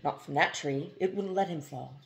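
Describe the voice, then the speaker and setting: A woman voicing an earnest young rancher husband in a storybook, quiet and firm. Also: low voice, moderate pace, creaky, poor audio. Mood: calm.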